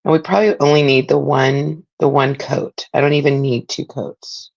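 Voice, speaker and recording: A middle-aged woman, raspy from a spring cold, chatting casually as she hosts a live craft demo and greets viewers by name. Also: rumbling low, flat delivery, moderate pace, darkish tone, lo-fi sound. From Southern California. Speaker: craft livestream host. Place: home craft room.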